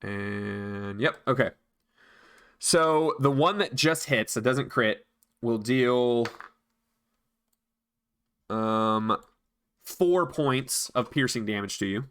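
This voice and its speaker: A man runs a tabletop game as its game master. His voice is bright and forward, and he takes his time as he calls out moves and dice results. The pitch sits in an ordinary middle range. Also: slow pace, long pauses, clean tone.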